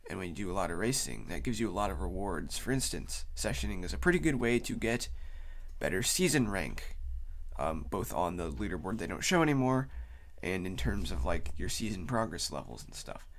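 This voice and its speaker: A man's voice: low voice, very animated, fairly quick, a little hiss or hum. A man with a cold, sounding tired, gives a casual unscripted explainer as a video host, rambling loosely as he goes. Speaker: YouTube host.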